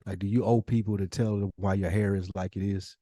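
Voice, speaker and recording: A man's voice: lowish voice, fairly even intonation, a little fast, mellow, no room sound, studio quality. A man co-hosting a casual podcast conversation, talking in an emphatic, probing way with plenty of slang. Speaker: podcast co-host.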